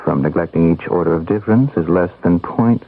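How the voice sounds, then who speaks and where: Measured courteous consultant, formal precise delivery, gravelly edge, radio drama, tinny noisy recording. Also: male voice, sits low. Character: measured, courteous male consultant feted as a brilliant decision-maker. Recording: tinny, narrow-band old radio, noisy.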